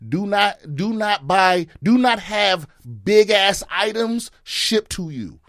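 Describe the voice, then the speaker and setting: A man hosting a casual chat, ranting in a profane, animated way and repeating himself. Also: sits high, takes it slowly, dry recording, studio quality. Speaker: comedian podcast host.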